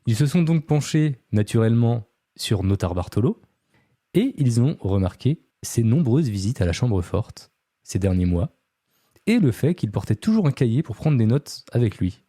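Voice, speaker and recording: A man's voice: mid-range voice, very animated, normal speed, mellow, no echo, clean studio sound. A man hosting a podcast, telling a true-crime story casually, with many fillers and chatty asides to his co-host.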